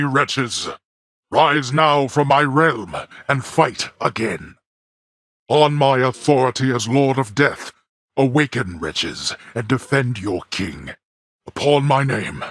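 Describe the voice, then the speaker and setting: A man's voice: lively intonation, slow, fairly bright tone, dry recording, clean studio sound. An actor playing a stern, imperious male god of the underworld who lectures his son in cold, formal speech. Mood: commanding. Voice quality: very deep, husky and grave. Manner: cold, formal, commanding; bellows when summoning. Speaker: boss character in a video game. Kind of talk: studio game voice acting.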